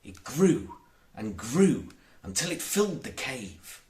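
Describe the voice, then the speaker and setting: A man reading a children's storybook aloud as an audiobook narrator, in a theatrical, expressive way. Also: mid-range voice, slow pace. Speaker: audiobook reader.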